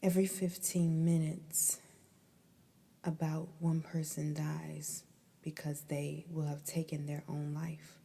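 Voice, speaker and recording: Female ASMR meditation host, breathy whisper, very mellow and dark, unhurried pace, soothing. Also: deep voice, little pitch movement. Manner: soothing, deliberate, with pauses between phrases.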